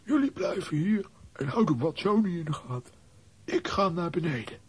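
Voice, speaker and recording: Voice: medium pitch, very animated, normal speed, husky. An actor playing a bossy, blustering comic adventurer in a children's audio drama, commanding and exclamatory. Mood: eager, commanding.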